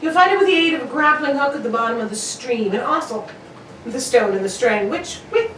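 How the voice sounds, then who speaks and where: A woman's voice: sits high, lively intonation, brisk, a bit bright. A woman playing a brilliant, exacting male detective in an old-time radio drama, with precise, theatrical delivery. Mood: triumphant, explanatory. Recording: echoey room, distant mic, faint noise.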